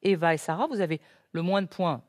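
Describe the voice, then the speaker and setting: A woman's voice: fairly low pitch, a little fast, no echo, studio quality. A woman in her sixties hosting a word game show, reading clues with emphasis and laughing often. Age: 60s.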